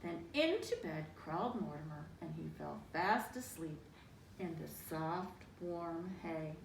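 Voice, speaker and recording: A woman's voice: sits low, animated, unhurried, gravelly. A woman reading a children's picture book aloud, with dramatic emphasis and little asides to young listeners. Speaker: storybook reader. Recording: roomy, distant mic, faint noise.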